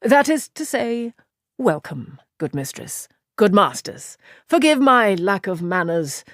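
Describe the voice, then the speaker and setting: Woman voicing a tired male innkeeper, apologetic, fading quiet, dry close sound. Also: mid-range voice, sing-song, slow pace, no room sound. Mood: weary. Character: weary, startled male innkeeper.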